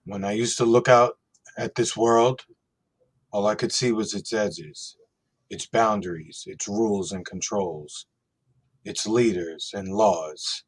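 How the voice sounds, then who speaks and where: A man playing a calm, resolute hacker hero in a radio play reading, speaking in a measured, earnest way with a husky voice. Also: on the low side, low-quality recording. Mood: earnest conviction. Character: calm, resolute freed hacker hero giving a defiant message. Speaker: podcast host.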